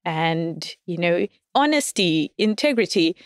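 A woman's voice: on the low side, lively intonation, measured pace, clear, resonant tone, no echo, clean studio sound. A woman as a podcast guest, chatting casually, laughing often and telling stories with animation. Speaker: guest, audio fiction writer. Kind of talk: casual interview chat.

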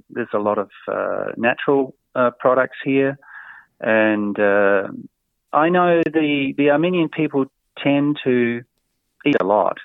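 A middle-aged man from Australia answering interview questions in a casual, hesitant way, with many fillers and soft chuckles. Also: medium pitch, no room sound. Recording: tinny phone-like line, dry.

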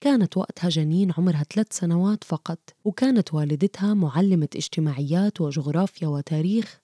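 A woman reading scripted documentary narration in a measured, formal way. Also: lowish voice, mellow, no room sound, clean studio sound.

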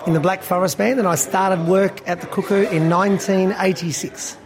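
A man's voice: high voice, steady tone, normal speed, a crowd in the background. A man in his fifties, a band musician, chatting casually and rambling with lots of fillers and laughs. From Australia. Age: 50s. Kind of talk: casual interview chat. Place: busy beer hall restaurant.

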